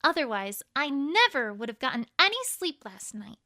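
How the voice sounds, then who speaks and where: A woman's voice: high voice, very animated, moderate pace, bright, forceful tone, full character voice. A woman voicing an earnest, bookish young female unicorn in a cartoon-pony story, explaining things carefully and a little formally. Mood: earnest, bashful.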